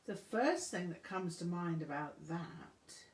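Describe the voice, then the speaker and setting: A woman voicing a relaxed, witty teenage English boy with an easy, thoughtful charm. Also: lowish voice, slow, echoey room.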